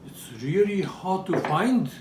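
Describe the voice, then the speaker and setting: Japanese-accented man presenting, slow and animated. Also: sits high. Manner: frequent checking questions to the audience. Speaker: presenter demonstrating traditional toys. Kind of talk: live show-and-tell demonstration. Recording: roomy, distant mic with background noise.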